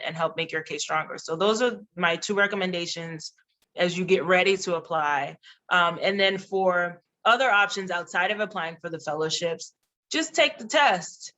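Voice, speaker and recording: A man whose first language is Haitian Creole speaks with a bright, clear tone. He is a diplomat on a panel, giving earnest, rambling advice and often restarting his sentences. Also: high voice. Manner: earnest, chatty, many restarts and fillers. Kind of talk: casual advice on a webinar panel.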